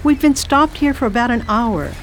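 A woman's voice: fairly high pitch, very animated, normal speed. A woman playing a proper, polite American lady of fifty on her first adventure abroad, in a radio drama. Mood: prim. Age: 50s. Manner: polite, prim, curious. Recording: noisy hissy recording.

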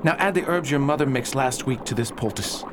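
A man plays a caring father at a sickbed in a period radio play. His mid-pitched voice soothes and instructs, with clear noise behind it. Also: a little fast, natural voice. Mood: soothing. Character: gentle, reassuring father nursing his sick family. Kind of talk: period radio drama acting.

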